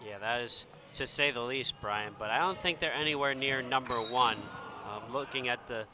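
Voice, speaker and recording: A man giving conversational color commentary on a live basketball game, with a halting delivery. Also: mid-range voice. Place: indoor basketball gym during game. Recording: noisy gym with bouncing balls.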